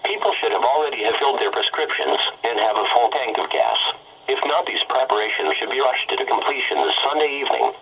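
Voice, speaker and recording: A man's voice: mid-range voice, big pitch swings, fairly quick, fairly bright tone, slight background noise, rough recording. A synthetic American man's voice reads a formal, impersonal scripted weather bulletin as a weather radio announcer. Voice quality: synthetic text-to-speech voice. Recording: weather radio broadcast sound.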